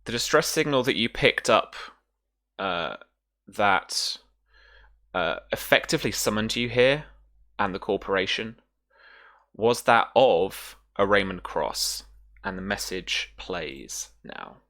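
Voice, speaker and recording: A game master narrating a sci-fi tabletop roleplaying game in short, hesitant phrases with deliberate pauses. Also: deep voice, expressive, fairly bright tone.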